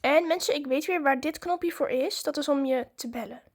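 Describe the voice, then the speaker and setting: A woman's voice: sits high, normal speed. A woman chatting informally to her viewers in a casual vlog, narrating what she is doing as she goes. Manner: informal, chatty, narrates own actions as they happen. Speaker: vlogger.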